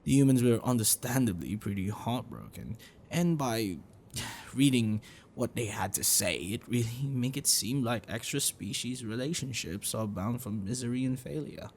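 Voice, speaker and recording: A man playing a gentle, bookish dragon-blooded librarian boyfriend in an ASMR roleplay, speaking in a hesitant, caring way. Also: medium pitch, animated, moderate pace, slight background noise, close to an everyday voice. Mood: earnest storytelling. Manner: hesitant, soft, caring, explanatory.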